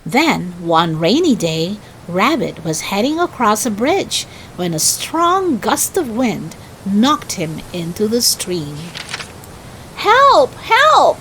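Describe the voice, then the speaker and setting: A woman narrating a children's story in an expressive, deliberate read-aloud style. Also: medium pitch, a little hiss or hum, low-quality recording.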